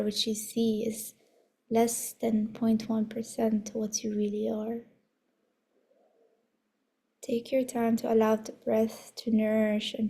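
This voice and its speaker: A woman leading a guided meditation as an instructor, soothing, unhurried and gently instructive, with a soft voice that turns breathy at times. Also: mid-range voice.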